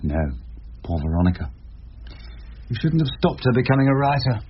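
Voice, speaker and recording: Man acting, older English barrister, theatrical, deliberate, lively intonation, muffled radio drama sound. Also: medium pitch, natural voice. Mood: accusing, stern. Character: shrewd, rumpled older English barrister, dogged and wry. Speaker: lead character and narrator. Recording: dull radio recording with hiss.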